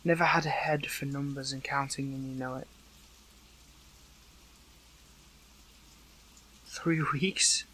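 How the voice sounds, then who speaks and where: A man playing a weakened captive young superhero in an intimate audio roleplay, hurt but earnest, speaking haltingly with emotion. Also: medium pitch, sharp tone, poor audio. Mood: weary, frustrated.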